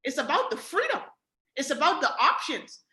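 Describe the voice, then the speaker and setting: A young adult woman from the Bahamas with a Bahamian accent, giving an energetic motivational sales pitch on a webinar, often asking "right?" and calling the audience "guys.". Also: moderate pace, reverberant. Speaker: webinar presenter.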